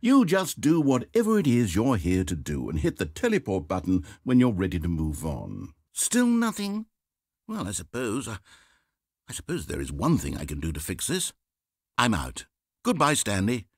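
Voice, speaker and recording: A man's voice: fairly low pitch, wildly swooping pitch, moderate pace, husky, dry recording, exaggerated voice. A man voicing a sardonic, fussy video game narrator, passive-aggressive and dry in manner, recorded in a dry studio. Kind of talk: scripted game voice-over.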